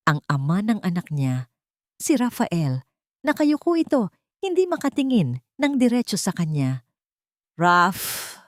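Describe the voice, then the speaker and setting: Female drama narrator: fairly low register, theatrical storytelling, dry studio-like sound. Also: a little mellow, no echo.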